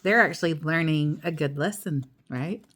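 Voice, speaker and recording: A woman reading a storybook aloud to a child, expressive and chatty, with casual asides. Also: sits low, moderate pace, a little hiss or hum.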